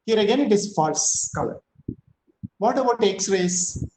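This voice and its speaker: A male physics professor giving an online lecture, chatty, with joking asides and filler pauses. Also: sits high, lively intonation, echoey room, noisy background. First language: not Tamil.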